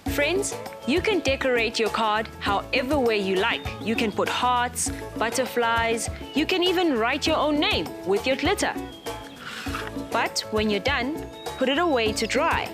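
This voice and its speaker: Warm-toned woman presenter leading a kids' craft segment, animated and high-pitched, with music under her. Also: measured pace. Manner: gentle, encouraging, speaks to the child viewers. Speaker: children's TV presenter. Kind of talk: coaching kids through a craft activity.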